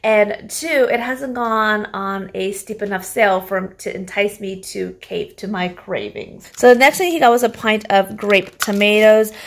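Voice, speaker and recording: A woman in her thirties talks to a phone held at arm's length in her kitchen. Her voice has a gravelly, fry-tinged edge, and she speaks at an ordinary middle pitch. She is chatty and rambling and laughs often. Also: unhurried, hardly any pauses. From Canada. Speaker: vlogger showing a grocery haul. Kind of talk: casual chat to camera. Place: home kitchen, indoors. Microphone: phone camera at arm's length.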